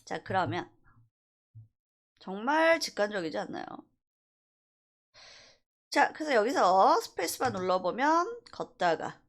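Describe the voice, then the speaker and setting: A woman instructor giving a casual software tutorial, talking through each step conversationally and checking in with short rhetorical questions. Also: mid-range voice, sing-song, unhurried, long pauses. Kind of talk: casual screen-recorded software tutorial, thinking aloud while demonstrating.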